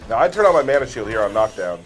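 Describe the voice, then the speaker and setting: A man's voice: lowish voice, big pitch swings, rapid-fire, faint music behind. A man giving casual gameplay commentary as a livestream host, with joking, teasing banter.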